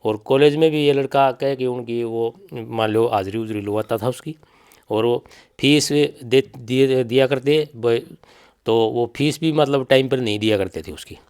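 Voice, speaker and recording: A man's voice: medium pitch, a little slow, a little mellow, clean tone. Middle-aged man with a Haryanvi accent. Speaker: bereaved father giving an interview. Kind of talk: spoken testimony in an interview.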